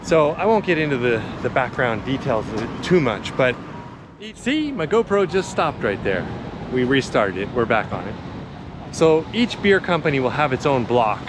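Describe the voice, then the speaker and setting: A man's voice: mid-range voice, big pitch swings, normal speed. A man giving a casual walking tour as a vlogger, chatty and laid-back but enthusiastic, talking into a handheld action camera outdoors. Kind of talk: casual chatty walk-and-talk narration. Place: outdoors in a busy city park. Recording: outdoor action camera with clear city noise.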